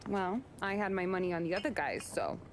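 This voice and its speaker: A woman's voice: on the low side, lively intonation, a little fast. A teasing barroom girl. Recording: game audio with clear background noise.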